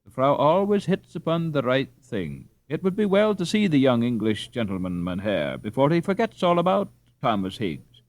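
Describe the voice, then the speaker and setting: A man voicing a humble, earnest Dutch peasant father in a storybook, halting and deferential. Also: mid-range voice, very animated, dry recording, close to an everyday voice.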